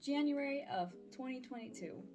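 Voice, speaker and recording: High-voiced young woman, candid and chatty. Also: expressive, moderate pace. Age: 20s. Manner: candid, slangy, playfully sarcastic. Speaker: vlogger and voice-acting audio creator. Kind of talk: casual chatty life-update vlog. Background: background music.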